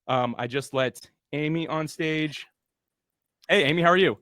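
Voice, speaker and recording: A man's voice: fairly high pitch, measured pace, fairly bright tone, no room sound, studio quality. Earnest man hosting a webinar. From USA. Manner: earnest, motivational, casual fillers. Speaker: host. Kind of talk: webinar launch party hosting.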